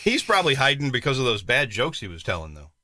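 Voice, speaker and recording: A man's voice: mid-range voice, big pitch swings, fast talker, sharp tone, slight background noise. A man co-hosting a live show, reading a comic list in a mock-serious tone. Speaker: co-host.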